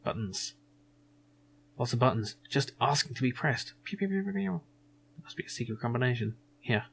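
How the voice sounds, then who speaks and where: A man chats casually through a video game he is playing. His pitch is average, and he muses aloud with a wry touch. Clear background noise sits behind his voice. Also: animated, normal speed, a bit bright. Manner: musing, wry, thinking aloud. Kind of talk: casual let's play commentary.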